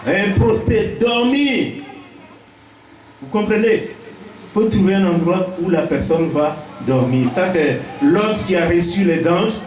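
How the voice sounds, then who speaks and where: A man's voice: high voice, takes it slowly, darkish tone. A man with an Ivorian accent giving a preaching-style welcome speech as a village chief, teaching point by point and repeating key phrases. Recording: echoey, picked up from a distance.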